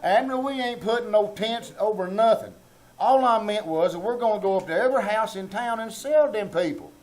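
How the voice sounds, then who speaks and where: Man as a high-voiced, self-important country fellow. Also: a little fast, lightly acted, rough recording. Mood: exasperated. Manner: pompous, rustic malapropisms, exasperated. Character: self-important, exasperated small-town country organizer. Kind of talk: live old-time radio comedy reenactment. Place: small-town theater stage, live performance. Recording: live theater stage, faint noise.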